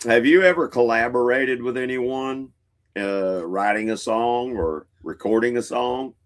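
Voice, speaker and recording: A man with a deep voice co-hosting a casual music interview, playful and joking. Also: unhurried. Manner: jokey, playful. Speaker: co-host.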